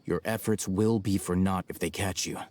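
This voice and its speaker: An actor playing an aloof, self-assured young scholar in a video game, speaking in a measured, blunt, dry way. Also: male voice, low voice, steady tone, darkish tone, close to an everyday voice. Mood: dry, cautioning. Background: music.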